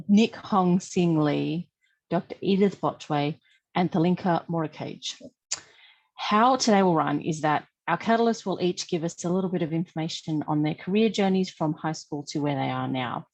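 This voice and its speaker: A woman hosting an online panel, reading the introductions and running order over a video call in a clear, orderly way. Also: on the low side, moderate pace, a little mellow, lo-fi sound. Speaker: webinar host. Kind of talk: scripted introduction.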